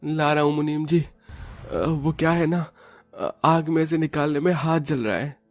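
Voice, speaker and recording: A man's voice: on the high side, steady tone, unhurried, no echo, soft background music. A man playing a polite, worried young working son in a cartoon story. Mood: deferential.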